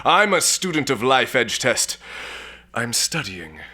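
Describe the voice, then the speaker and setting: A man's voice: medium pitch, very animated, slow pace, pushed and bright, husky, exaggerated voice. A man playing a pompous, boozy, womanizing space lord in a comic sci-fi audio drama, with haughty, theatrical bluster and old-fashioned exclamations. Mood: haughty, irritated.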